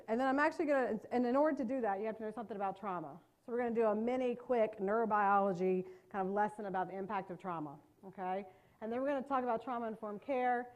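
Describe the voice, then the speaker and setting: A middle-aged woman from North Carolina with a Southern accent, giving a chatty, joking training talk to a live audience in a meeting room. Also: mid-range voice. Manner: fast-talking, chatty, self-deprecating jokes. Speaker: trauma care trainer.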